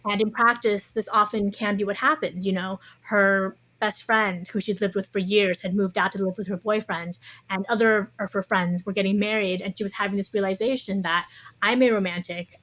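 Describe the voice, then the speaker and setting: A woman's voice: medium pitch, fairly even intonation, normal speed, fairly bright tone, poor audio. A woman with a mid-range voice speaks as a guest in an interview. She explains things in an articulate, conversational style.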